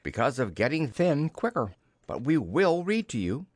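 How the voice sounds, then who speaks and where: A man voicing a kind, calm, practical young storybook boy with a gentle, reassuring manner. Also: medium pitch, moderate pace, dry recording, clean studio sound, voice pushed up. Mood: kindly firm.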